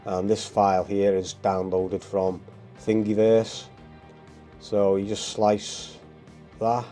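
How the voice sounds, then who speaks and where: Bunged-up man with a low, flat voice. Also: takes it slowly, soft background music. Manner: rambling, full of ums and uhs, keeps saying guys. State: stuffy, bunged up from a cold. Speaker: hobby channel host. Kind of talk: casual how-to narration.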